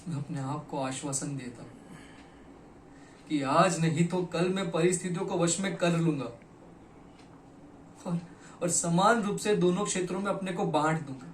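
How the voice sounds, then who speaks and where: A man performing a theatrical monologue as a broken, regretful poet, speaking dramatically and deliberately with weighted pauses. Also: a little high, lightly acted. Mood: anguished. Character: broken, regretful poet confessing to his lost beloved. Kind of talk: theatrical Hindi stage monologue. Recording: echoey room, distant mic, faint noise.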